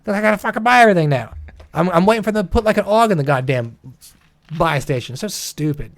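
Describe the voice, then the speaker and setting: A man's voice: sits high, expressive, fast. A man co-hosting a gaming livestream, chatting casually with an exasperated, sweary, ranting manner.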